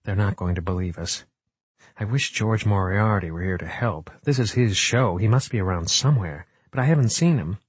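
A man acts out a young female scientist in an audiobook, determined and earnest. The voice is a little husky and close, with an explanatory, serious manner. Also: fairly low pitch, animated, fairly quick, hardly any pauses, dry recording. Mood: quiet resolve. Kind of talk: audiobook character acting.

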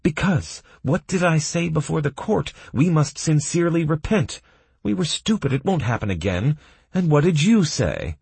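An exasperated prisoner in a fantasy storybook voiced by a man, accusing and mocking. His voice climbs high and swoops sharply as he needles his companions. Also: very animated, normal speed, vocal fry, a little mellow, breathy edge, no echo, exaggerated voice.

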